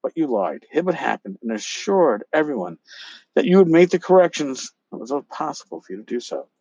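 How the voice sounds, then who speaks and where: A man's voice: medium pitch, big pitch swings, fairly quick, warm tone, no echo, muffled recording. A man playing a scornful, relentless accuser in a dramatic reading, sarcastic and long-winded. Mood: scornful, accusing. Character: scornful, relentless accuser lecturing a lying grifter. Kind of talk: dramatic reading of an email exchange.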